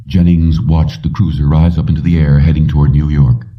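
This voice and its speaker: A man's voice: rumbling low, little pitch movement, brisk. A man reading science fiction prose aloud as a scripted audiobook narration. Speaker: audiobook reader. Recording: muffled, dull, distant-sounding.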